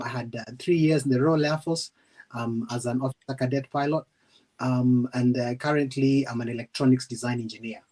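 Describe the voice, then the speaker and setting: A man answering as an interview guest, polite and measured, hesitating between phrases. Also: medium pitch, darkish tone. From Western Province, Kenya. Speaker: guest, engineer and ex-serviceman.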